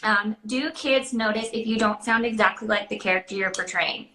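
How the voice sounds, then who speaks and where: An American woman hosting a casual online chat, telling stories and answering questions in an animated way. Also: mid-range voice, normal speed. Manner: animated storytelling, acting out quoted dialogue. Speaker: live show host and character party performer. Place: indoor room, online video chat. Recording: roomy echo.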